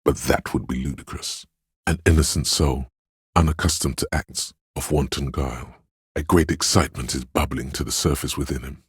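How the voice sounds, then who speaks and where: A man playing the gentle, perceptive inner voice of empathy in a role-playing game, narrating in a measured, intimate, solemn and literary way. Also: steady tone, dry recording, clean studio sound, full character voice. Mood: tender, perceptive. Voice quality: very deep, gravelly, husky.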